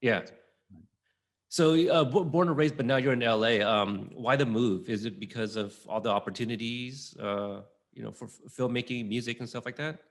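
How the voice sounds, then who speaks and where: A man in his thirties hosting a podcast interview, asking friendly, halting questions. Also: medium pitch. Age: 30s. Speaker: podcast host. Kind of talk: casual interview.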